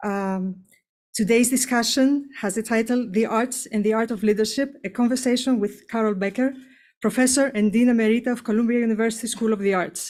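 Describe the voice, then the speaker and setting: A woman's voice: a little high, fairly even intonation, fast talker, darkish tone. A woman opening a public talk as moderator, polite and formal, with frequent ums and uhs. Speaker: event moderator. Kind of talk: formal event introduction.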